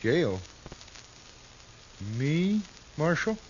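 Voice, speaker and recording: Timid, childlike cowhand, higher-pitched. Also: male voice, sing-song, darkish tone, exaggerated voice. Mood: frightened pleading. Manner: hesitant, naive, halting. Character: simple, timid, childlike male cowhand in trouble. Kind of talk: 1950s radio drama acting. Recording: dull, hissy old radio recording.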